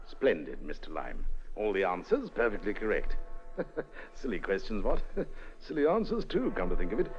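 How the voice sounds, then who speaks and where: Jaunty, swooping posh English spymaster. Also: male voice, on the low side, big pitch swings, moderate pace. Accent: upper-class English. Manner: clipped, chatty, donnish, full of tag questions. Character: jaunty, eccentric upper-class British spymaster captain. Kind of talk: 1950s radio drama acting. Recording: dull vintage radio recording.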